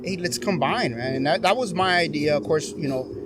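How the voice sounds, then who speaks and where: Joking middle-aged dude ribbing his buddies, voice a bit high. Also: a little fast, very noisy. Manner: teasing, joking, laughs often. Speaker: guest, veteran advocate. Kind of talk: casual podcast conversation. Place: covered patio with string lights at night.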